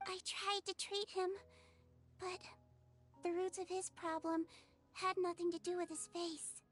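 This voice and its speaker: A woman voicing a small, gentle, youthful nonhuman girl doctor in a video game, with an earnest, measured manner. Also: extremely high pitch, flat delivery. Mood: earnest, grateful.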